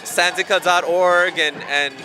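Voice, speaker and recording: A man's voice: high-pitched, steady tone, a little slow, a crowd in the background. A hungry man speaking informally and ramblingly, with many fillers, in an outdoor street interview. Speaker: activist.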